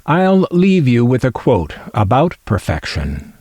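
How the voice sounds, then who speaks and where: A man's voice: medium pitch, huge pitch swings, measured pace, mellow, dry recording. An older man narrating a scripted hobby video as a collector, musing aloud with dry, wry humor. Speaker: collector presenting vintage radio finds.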